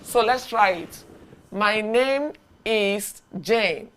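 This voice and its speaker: Woman English teacher for beginners, unhurried, crisp diction, models phrases to repeat. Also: mid-range voice, fairly bright tone. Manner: slow, deliberate, repeats phrases for learners to echo. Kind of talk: beginner language lesson.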